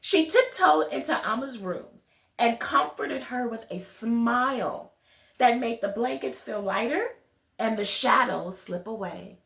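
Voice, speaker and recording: A woman reading a children's picture book aloud, expressive, speaking directly to her young listeners. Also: a little high, a little slow, creaky, roomy sound. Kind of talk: children's read-along with friendly asides to listeners.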